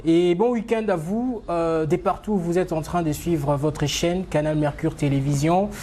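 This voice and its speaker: A man hosting a studio TV interview. His voice is mellow, and he hesitates and restarts often. A faint hiss sits under the recording. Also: on the high side, normal speed. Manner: rambling, many fillers and restarts. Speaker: TV show host. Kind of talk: casual TV interview.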